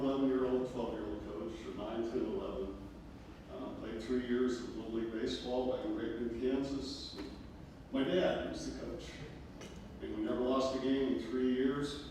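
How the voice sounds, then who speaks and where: A man giving a personal acceptance speech as an award inductee, halting and pausing often. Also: medium pitch. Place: large echoing hall at a ceremony. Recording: echoey hall from far, clear background noise.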